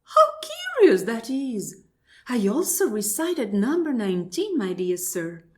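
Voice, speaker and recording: A woman's voice: fairly high pitch, very animated, measured pace, darkish tone. A woman playing a genteel, wonderstruck married lady in an absurdist radio play, formal and exclamatory, repeating her polite phrases. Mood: mild astonishment. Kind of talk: absurdist stage dialogue played as radio drama.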